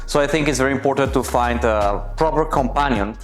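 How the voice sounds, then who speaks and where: A man's voice: mid-range voice, fairly quick. Thoughtful man, faint music behind. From Colombia. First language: Spanish. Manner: thoughtful, searching for words. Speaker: interviewee. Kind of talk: personal interview answers.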